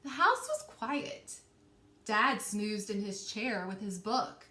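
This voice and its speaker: A woman's voice: medium pitch, lively intonation, takes it slowly, sharp tone. A woman reading a storybook aloud to children like a teacher, expressively stretching key words and asking questions. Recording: roomy, echoing indoor sound.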